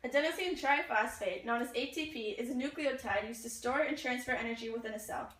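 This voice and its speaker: A woman's voice: a little high, quick pace, a bit bright. A young woman reading scripted narration in the style of an advert. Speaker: puppet show performer reading mock adverts. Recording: echoey room, distant mic.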